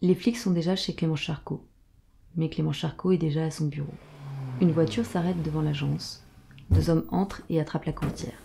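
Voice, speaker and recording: Very dark, husky, creaky female narrator. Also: deep voice, steady tone, normal speed. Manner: terse present-tense storytelling. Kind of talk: scripted audio drama narration.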